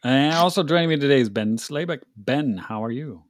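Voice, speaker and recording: Male podcast host, upbeat, lively pitch, dry close sound. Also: brisk, no echo. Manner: upbeat, welcoming. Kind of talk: casual podcast chat.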